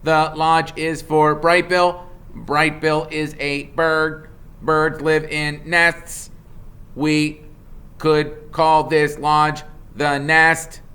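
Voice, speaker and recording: Female robot for a children's story, acted by a man: polite, literal, high, bright and measured. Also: little pitch movement, clean tone, heavily acted. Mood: literal, calm. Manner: measured, precise, matter-of-fact.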